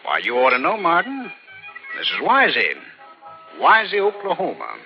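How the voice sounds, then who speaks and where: A man's voice: a little high, wildly swooping pitch, moderate pace. An actor playing a folksy, knowing small-town lunch counterman, familiar and colloquial, in 1940s radio drama style. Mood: knowing, genial. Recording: dull old radio recording with music.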